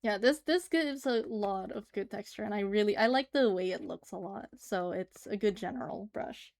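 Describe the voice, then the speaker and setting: A woman's voice: medium pitch, moderate pace, creaky. A woman livestreaming as she draws, chatting casually and giggling as she answers her chat. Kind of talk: casual chat while drawing on stream.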